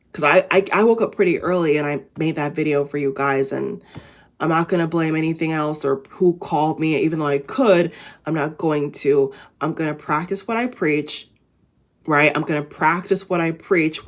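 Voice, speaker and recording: A woman with a low, raspy voice talks to her viewers in a loose, rambling inspirational vlog. She sounds tired, and she keeps circling back with restarts and fillers as she works out her thoughts. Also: moderate pace. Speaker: vlogger. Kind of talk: casual inspirational talk to camera.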